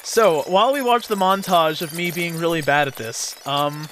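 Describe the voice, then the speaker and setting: A man giving casual video game commentary, explaining in a halting, rambling way with sarcastic jokes. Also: on the high side, fairly bright tone, a little hiss or hum, poor audio. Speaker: let's play host and player. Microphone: voice-over commentary recorded over game footage. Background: game music.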